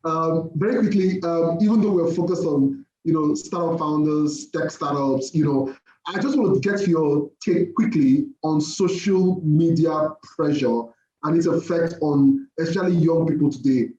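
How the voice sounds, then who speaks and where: Mellow man interviewing in a roomy space. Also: a little high, moderate pace. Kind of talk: interview questioning. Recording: roomy, slightly dull audio.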